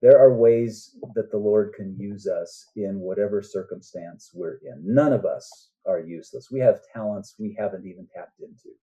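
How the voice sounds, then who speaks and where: Older guy author chatting as a guest, really mellow dark voice, kinda dull lo-fi sound. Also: lowish voice, moderate pace, few pauses. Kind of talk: casual interview chat.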